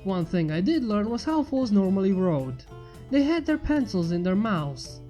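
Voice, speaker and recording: A woman's voice: mid-range voice, sing-song, normal speed, dark tone. A woman reading a first-person fan fiction story aloud as its narrator. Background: faint music.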